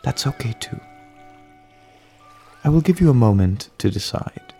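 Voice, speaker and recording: A man playing a gentle, caring relaxation guide, leading a guided meditation in a calm, soothing, reassuring manner. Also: medium pitch, measured pace, vocal fry, soft background music.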